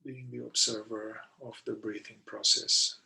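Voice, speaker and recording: Male meditation guide, low-pitched with a very bright, crisp edge, speaking deliberately in short phrases with pauses. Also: level tone, low-quality recording. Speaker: meditation guide, somatic therapist.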